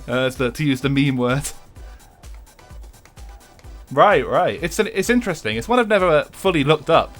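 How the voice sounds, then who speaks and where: A man's voice: medium pitch, fairly bright tone. A young English man chatting casually with his viewers while streaming a racing game, swearing freely and laughing often.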